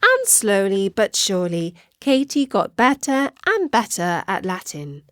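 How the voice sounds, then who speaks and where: A woman narrating a scripted children's story, expressive and measured in her delivery. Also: mid-range voice, no echo, clean studio sound.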